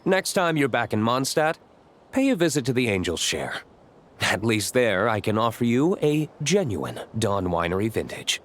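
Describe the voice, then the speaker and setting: A man voicing a proud, courteous winery owner in a video game, speaking in a measured, dry, formal way. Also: mid-range voice, very animated, slight background noise, natural voice. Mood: reserved, polite. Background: music.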